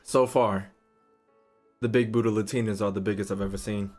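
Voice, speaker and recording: A man's voice: lowish voice, steady tone, brisk, darkish tone, faint music behind. Crude, joking male gaming streamer. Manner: crude, slangy, joking, profane. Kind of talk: casual reaction commentary.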